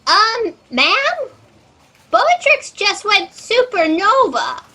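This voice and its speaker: Audio comedy role: actress as chatty, oblivious female office fact-checker, very high and swoopy. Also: very animated, drawn-out words, pushed and bright, exaggerated voice. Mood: flustered. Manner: rambling, oblivious, chirpy. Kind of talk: comedic audio drama acting.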